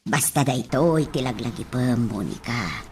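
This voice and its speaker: A woman acting as a devoted, motherly older nanny, gently chiding, in an Ilocano radio drama. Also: rumbling low, animated, a little slow, a little hiss or hum. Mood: fond, chiding.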